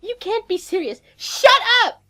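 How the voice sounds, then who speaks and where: A man's voice: wildly swooping pitch, a little slow, breathy edge, exaggerated voice. A man voicing an unhinged, menacing young woman who taunts, commands and screams, over music. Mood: screaming. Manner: shrill, shouting, taunting.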